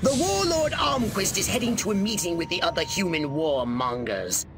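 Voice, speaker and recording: A man's voice: sits high, very animated, moderate pace, over loud music. A man voicing a scheming, imperious alien commander in a video game, with haughty, precise, formal delivery. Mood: stern, urgent. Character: scheming, imperious alien commander briefing his soldier clone. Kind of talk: video game cutscene acting.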